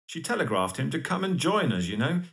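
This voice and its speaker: An actor playing an exasperated, honourable but impoverished father, a gentleman captain, weary and reproving in a period audio drama. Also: fairly high pitch, very animated, a little fast.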